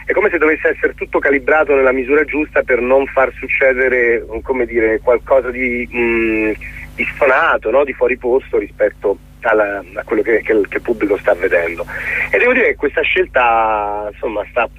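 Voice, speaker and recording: A middle-aged man giving a reflective radio interview as a theatre guest, articulate but often pausing to search for words. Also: medium pitch, husky. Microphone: telephone. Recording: muffled, thin telephone line on radio.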